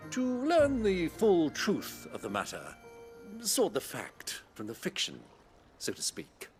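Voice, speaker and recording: A courtly, jovial uncle of noble birth in a fantasy game. His delivery is unhurried and very animated, genteel and wry, with some music underneath. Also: high-pitched, full character voice. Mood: wry, affable. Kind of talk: theatrical fantasy game dialogue.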